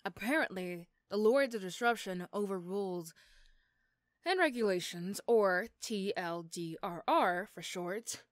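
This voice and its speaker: A sardonic female supervillain, acted by a woman for an ASMR roleplay, recorded close and dry. She is condescending and teasing, mean on the surface with grudging sympathy underneath, in a medium-pitched voice. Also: a little slow, no echo, natural voice. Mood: wry. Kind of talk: ASMR roleplay character acting.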